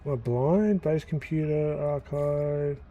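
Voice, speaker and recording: A man casually commenting as he plays through a game, thinking aloud with pauses and fillers. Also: a little high, dark tone, muffled recording. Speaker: gaming YouTuber. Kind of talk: casual let's-play commentary.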